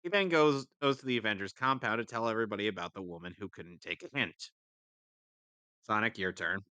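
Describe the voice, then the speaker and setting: A man co-reading a comic script aloud on a livestream in a lively narrating style. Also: on the low side, a little fast, smooth, no room sound. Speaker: co-reader on a comedy livestream.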